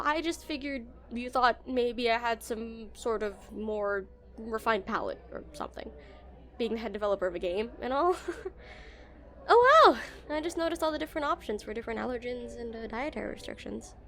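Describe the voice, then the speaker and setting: Woman acting as shy, high-voiced game developer. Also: animated, normal speed, fairly bright tone. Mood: flustered. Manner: flustered, hesitant, stammering, giggly. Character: awkward, shy female game developer on dinner date. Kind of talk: romantic audio roleplay, conversational acting.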